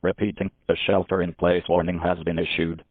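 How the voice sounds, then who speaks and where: Low monotone male emergency alert announcer, phone-like. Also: fairly quick, no echo. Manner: flat, formal, impersonal. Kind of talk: scripted emergency broadcast warning. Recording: narrow phone-like lo-fi broadcast audio.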